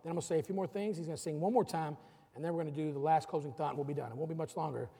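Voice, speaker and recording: A middle-aged male pastor preaching, his voice gravelly and somewhat husky. He pushes his points with rising emphasis. The sound rings with the long reverb of a church sanctuary. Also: fairly high pitch, rapid-fire, dark tone. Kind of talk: sermon.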